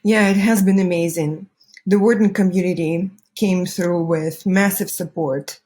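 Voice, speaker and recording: A woman interviewer speaks in a slightly low voice. She hosts in a conversational way, as in a one-on-one interview. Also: fairly even intonation, measured pace, darkish tone. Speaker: interviewer, show host.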